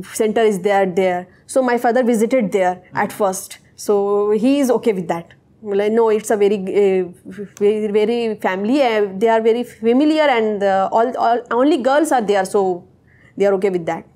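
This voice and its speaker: A young woman whose first language is Urdu, answering interview questions in hesitant, halting English. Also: medium pitch, dark tone. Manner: hesitant, searching for words. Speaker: interviewee, technical associate.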